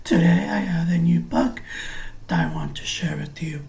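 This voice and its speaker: A man reading a picture book aloud as a teacher, explaining things simply and deliberately for young children. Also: fairly high pitch, wildly swooping pitch, vocal fry, a little mellow. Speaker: preschool or early grade teacher.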